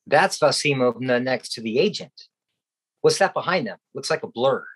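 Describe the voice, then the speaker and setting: An earnest American government investigator in a radio play, acted by a man. His voice is mid-pitched and inquisitive, with clear noise on the recording. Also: lively intonation, moderate pace, natural voice. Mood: curious, puzzled. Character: earnest male government agent, curious investigator. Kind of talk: radio drama acting.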